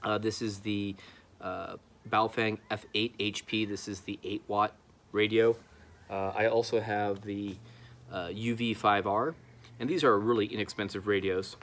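A man in his thirties with a slightly low voice hosts a casual vlog. He explains things to the camera in a conversational way while sitting outdoors. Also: steady tone, unhurried, fairly bright tone. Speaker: YouTube host, amateur radio hobbyist. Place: outdoors in a backyard.

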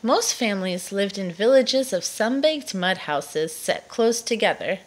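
A woman reading a children's nonfiction book aloud to a child in a measured storytelling delivery. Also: mid-range voice, expressive.

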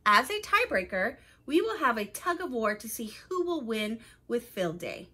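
A woman's voice: a little high, big pitch swings, moderate pace, sharp tone, husky, heavily acted. A woman voicing an authoritative school principal making formal announcements in a children's storybook. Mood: announcing.

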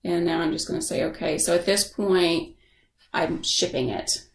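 Woman, creaky voice, casual tutorial narration, explanatory, thinking aloud, noticeable room echo. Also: lowish voice, moderate pace. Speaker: software instructor.

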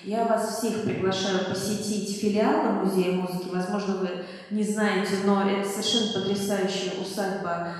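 A woman's voice: medium pitch, fairly even intonation, moderate pace. A middle-aged woman giving a formal public address into a handheld microphone in a concert hall. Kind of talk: public speech of thanks and invitation. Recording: very echoey concert hall, long reverb.

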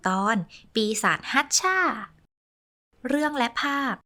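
A woman narrating a rhyming children's story in a sing-song, lively way, adding playful sound effects. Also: takes it slowly.

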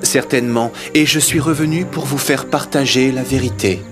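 An actor playing an earnest young prince turned spiritual seeker in an animated film, speaking formally and solemnly. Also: male voice, mid-range voice, normal speed, lightly acted. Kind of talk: French animated film dubbing, formal literary dialogue. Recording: music behind the voice.

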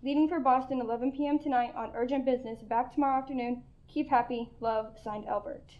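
A student actress playing a polite, formal telegraph clerk reading out a message in a school radio play. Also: female voice, high-pitched, quick pace, lightly acted. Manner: formal, even, reading aloud. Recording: echoey, dull lo-fi sound.

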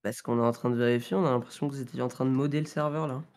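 Mid-pitched man, matter-of-fact. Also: fairly even intonation, rapid-fire, a little mellow. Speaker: game server staff member. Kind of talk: casual voice chat.

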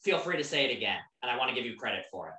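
A woman's voice: extremely low pitch, very animated, rapid-fire, bright, forceful tone. A woman teaching an online class in a casual, conversational way, often asking the students questions. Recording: roomy, distant from the mic.

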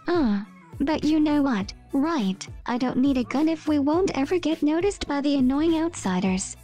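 A woman's voice: high-pitched, lively intonation, normal speed, dark tone. A woman voicing a cocky, protective cowboy gunslinger with a casual, folksy swagger. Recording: music behind the voice.

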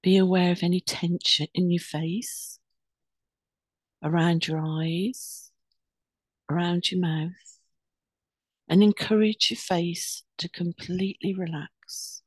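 A woman leading a guided meditation in a soothing, unhurried, instructing way with pauses between phrases. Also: lowish voice, fairly even intonation, a little mellow, slightly rough, no room sound.

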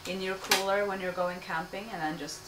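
A woman in her thirties from Sweden hosting a home cooking show, calmly explaining each step as she cooks in her kitchen. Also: lowish voice, brisk. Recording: echoey kitchen, distant mic, noisy.

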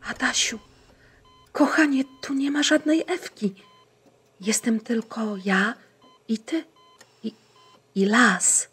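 Slow, very breathy tender mother. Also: fairly high pitch, animated, a bit bright, faint music behind, full character voice. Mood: puzzled, gentle. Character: tender, puzzled mother of a young boy. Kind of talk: radio play acting.

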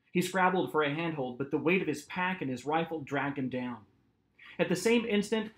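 Guy in his 40s doing an animated storybook read, voice a bit on the high side. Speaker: author reading his book aloud. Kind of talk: expressive storybook narration. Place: small home office, indoors.

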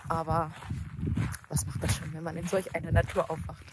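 A woman's voice: deep voice, level tone, normal speed. A young woman chatting cheerfully to her phone camera outdoors on a windy travel vlog. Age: young adult. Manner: chatty, cheerful, talks to camera while walking. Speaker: travel vlogger. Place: outdoors in desert camp, windy. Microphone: phone camera at arm's length. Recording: loud wind noise outdoors.

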